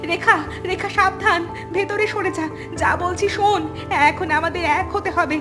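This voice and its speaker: A woman's voice: very high voice, fairly even intonation, moderate pace, music in the background. Firm, protective elder sister-in-law, acted by a woman. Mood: firm, urgent. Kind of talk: melodramatic cartoon voice acting.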